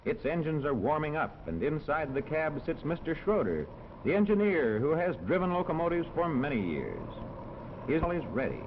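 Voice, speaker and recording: A man narrating a 1940s educational film in a measured, instructional manner, on a muffled old soundtrack. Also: fairly high pitch, slight background noise. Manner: clear, measured, instructional delivery.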